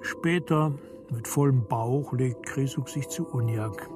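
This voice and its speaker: A man reading literary narration for a radio play in a measured, deliberate storytelling manner. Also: mid-range voice. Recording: studio narration over prominent music. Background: music.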